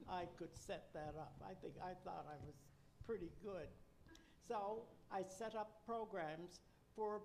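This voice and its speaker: Older woman from Nova Scotia, unhurried reflective storyteller, dry asides, faint hiss behind. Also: medium pitch, darkish tone, poor audio. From Nova Scotia, Canada. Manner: measured, reflective, with wry asides. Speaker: interviewee, retired nurse educator.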